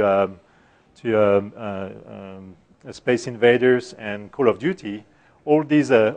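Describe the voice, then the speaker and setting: A middle-aged man with a French accent and a soft voice, giving a technical conference talk with many fillers and restarts. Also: fairly low pitch. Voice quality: soft-spoken. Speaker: conference speaker. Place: conference room.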